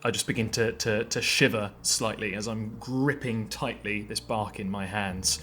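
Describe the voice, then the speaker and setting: A man playing a tabletop roleplaying game, describing his character's actions in a halting, conversational way as he feels out each phrase. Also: on the low side, fairly even intonation, fairly bright tone, slight background noise. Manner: halting, feeling out phrases with repetitions.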